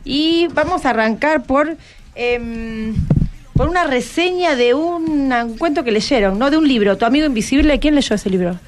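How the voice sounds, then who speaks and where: Higher-pitched Argentine woman hosting radio. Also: normal speed, slight background noise. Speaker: radio host interviewing school students. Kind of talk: conversational interview, prompting guests with questions. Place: radio studio. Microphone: studio microphone.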